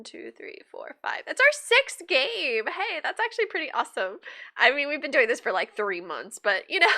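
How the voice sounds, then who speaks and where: A woman's voice: a little high, sharp tone. A young woman streaming a game while sick, sniffling, chatty and upbeat, thinking aloud and laughing often. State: sick with a stuffy nose, sniffling. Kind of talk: casual gaming livestream chat.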